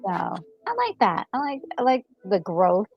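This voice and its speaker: A woman gaming co-host talking casually and enthusiastically, thinking aloud in a halting way as she reacts to a game. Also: medium pitch, expressive, lo-fi sound, faint music behind. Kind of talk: casual reaction chat about a video game.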